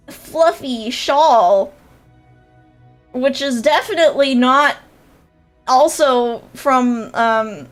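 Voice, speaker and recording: A young woman with a nasal voice, chatting casually and rambling on a livestream, with lots of fillers and laughs. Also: a little high. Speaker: VTuber streamer and creator coach.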